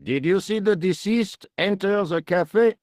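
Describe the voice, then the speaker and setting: A man voicing a pompous, world-weary French police inspector in a video game, speaking deliberately and theatrically. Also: high voice, darkish tone, dry recording. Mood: inquisitive, suave.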